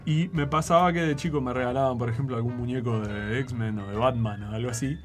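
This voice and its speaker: A man's voice: medium pitch, fairly even intonation, a little fast, music in the background. A man in his thirties with a Uruguayan accent, chatting casually and often checking that his friend agrees. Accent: Uruguayan Spanish. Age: 30s. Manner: chatty, self-deprecating, asks for agreement. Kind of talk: casual chat.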